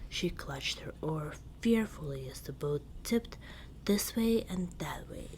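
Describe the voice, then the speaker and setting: Slow, whispery woman reading a storybook. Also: low-pitched, lively intonation, poor audio. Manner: soft-spoken, deliberate, drifting into whispers. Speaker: ASMR storyteller. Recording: clear background noise.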